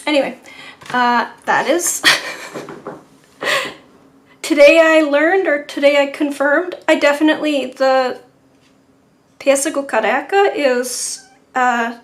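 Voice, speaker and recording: A woman's voice: sits high, takes it slowly, long pauses, slight background noise. A woman hosting a live watercolour painting stream, chatting with viewers in a rambling, laughing way. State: sore neck.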